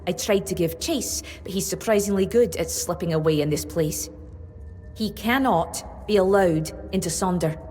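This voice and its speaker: A grave, devoted woman of a fantasy game tribe, played by an actress, speaks in a lowish, earnest voice. Her words ring out in long reverb over music. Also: a little mellow, lightly acted. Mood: grim, determined. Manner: grave, earnest, measured delivery. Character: solemn, devoted woman of a dreaming tribe, longing and vengeful. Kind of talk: dramatic fantasy video game acting.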